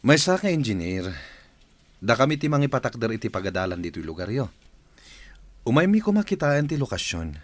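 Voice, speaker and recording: Man acting as self-assured engineer, lively intonation. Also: mid-range voice, a little fast, long pauses. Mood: courteous. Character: self-assured visiting engineer, courteous boss offering work. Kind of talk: Ilocano radio drama acting.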